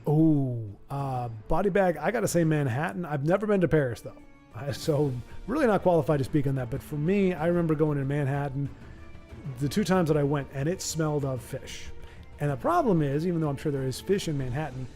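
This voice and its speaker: A man's voice: on the high side, brisk, mellow, soft background music. A man hosting a card game livestream, chatting casually and rambling, often stammering and repeating words. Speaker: stream host and commentator.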